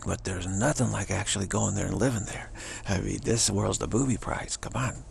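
A man giving a rambling, informal spiritual monologue in short, halting phrases that trail off. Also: lowish voice, vocal fry, a little hiss or hum. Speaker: spiritual vlogger.

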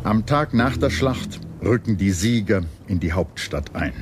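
A man reading scripted historical documentary narration in a measured, declarative storytelling manner. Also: fairly low pitch, expressive. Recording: clear background noise and ambience.

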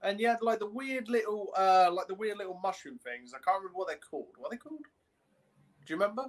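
Young male co-host, noticeably high-pitched, informal and halting, talking over a video call. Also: animated. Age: young adult. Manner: halting, peppers speech with "like". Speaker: podcast co-host. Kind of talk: casual chat. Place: indoor room, video call.

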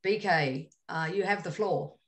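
A woman's voice: deep voice, measured pace, gravelly. A woman moderating a panel, introducing the next speaker with frequent hesitations. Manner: hesitant, many fillers.

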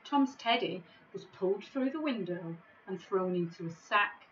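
A middle-aged woman reading a children's storybook in a measured, expressive way, recorded on a device microphone in an office. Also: medium pitch, highs cut off, a little hiss or hum. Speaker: school staff member reading a bedtime story. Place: small office, indoors.

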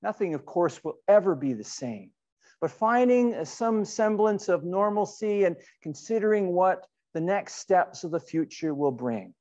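A middle-aged man, a pastor, giving a sermon in an earnest, deliberate way with rhetorical questions and repetition. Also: high-pitched, expressive, darkish tone, dull audio. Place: indoors in a church sanctuary.

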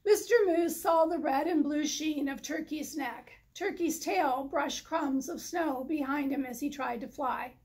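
Female storybook reader, middle-aged, high voice, slow deliberate pacing, library setting. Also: darkish tone. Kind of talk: children's story read aloud, scripted narration. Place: indoor library, in front of bookshelves.

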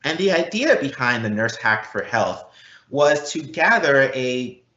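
A man's voice: mid-range voice, very animated, unhurried, bright, forceful tone. A man giving a webinar presentation as a technical speaker. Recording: roomy echo, slightly dull.

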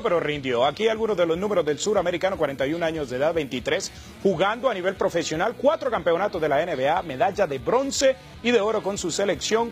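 A man anchoring a sports news segment in a formal, brisk newsreading manner. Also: high voice.

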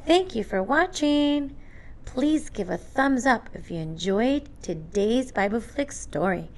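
A woman reading a children's storybook aloud in an expressive, deliberate narrating style. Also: a little high. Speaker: storybook reader.

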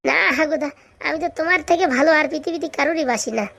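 A woman acts out a wary, trusting young village man in a comic cartoon. The voice is high and quick, with a doubting, questioning way of talking. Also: gravelly, a bit bright, highs cut off.

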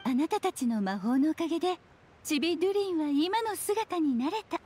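A woman playing a playful, mysterious, self-assured witch in an anime video game, with a teasing, unhurried delivery. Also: extremely high pitch, expressive, dark tone, slight background noise. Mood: serene explaining.

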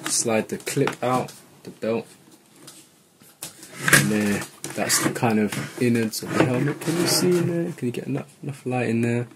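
A man's voice: mid-range voice, fairly even intonation, moderate pace, heavy background noise. A man talking informally through a hands-on how-to tutorial, thinking aloud as he works. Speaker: vlogger giving a how-to tutorial. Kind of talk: casual hands-on tutorial narration. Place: indoors at a wooden table in a home room.

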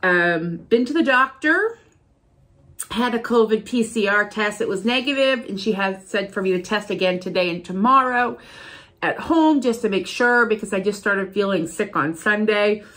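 A woman's voice: medium pitch, moderate pace. A woman in her fifties with a slight cold, chatting casually through a shopping haul in her home office, speaking to a desk camera. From Ohio, USA. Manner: chatty, rambling, lively greeting. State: has a cold, sniffling. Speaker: vlogger. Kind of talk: casual haul video chat. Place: home office with bookshelves, indoors. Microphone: camera at desk distance.

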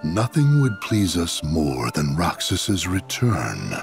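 An actor playing a cold, imperious villain in a video game, measured, grandiose and condescending. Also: male voice, sits low, wildly swooping pitch, creaky, faint music behind, heavily acted. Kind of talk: dramatic video game cutscene acting.

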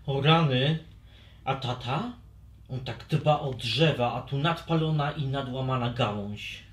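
A man playing a gentle, easygoing dragon with a mild manner in a children's audio fairy tale. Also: medium pitch, a little slow, roomy sound, natural voice. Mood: dismayed.

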